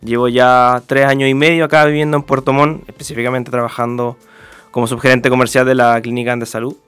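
A young man with a Chilean accent answering interview questions in a polite, measured, explanatory way. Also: mid-range voice, level tone, a bit bright, clear, resonant tone, dry recording, soft background music. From Viña del Mar, Chile. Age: young adult. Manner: polite, measured, explanatory, hedging with set phrases. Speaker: interview guest, clinic commercial manager.

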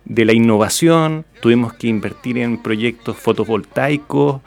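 A middle-aged man with a Chilean accent answering in a radio interview, speaking conversationally in a hesitant, halting way. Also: mid-range voice, creaky. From Puerto Varas, southern Chile. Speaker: interview guest, regional government official.

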